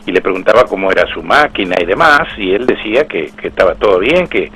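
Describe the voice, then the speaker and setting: Un hombre mayor con acento argentino, actor de voces entrevistado, que cuenta anécdotas con orgullo, con muchas reformulaciones y un timbre algo ronco. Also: male voice, fairly low pitch, lively intonation, fast, fairly bright tone, husky. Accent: Argentine Spanish. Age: older. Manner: storytelling, proud, with restarts. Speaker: voice actor interviewed. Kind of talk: anecdotal interview talk.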